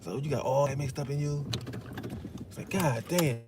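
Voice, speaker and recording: A man's voice: medium pitch, moderate pace. A man talking in a loose, casual monologue, swearing freely and filling his talk with "you know what I'm saying.". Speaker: commentator. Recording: steady loud road and vehicle noise.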